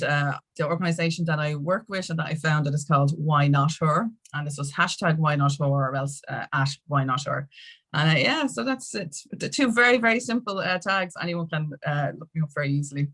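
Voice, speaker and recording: Irish woman, pretty low voice, chatty podcast guest telling a story, very informal. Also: fairly bright tone. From Ireland. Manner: chatty and informal, with mild swearing. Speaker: podcast guest, music consultant and campaigner. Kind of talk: casual chat, personal storytelling.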